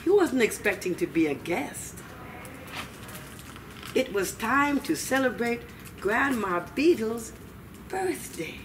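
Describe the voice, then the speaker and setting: Brief: female children's storyteller, middle-aged, lively pitch swings, recorded at a distance in a noisy room. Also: slow pace, pauses often. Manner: expressive, dramatic, with asides to listeners. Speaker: library storytime reader. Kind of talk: animated read-aloud for young children. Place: small children's room indoors.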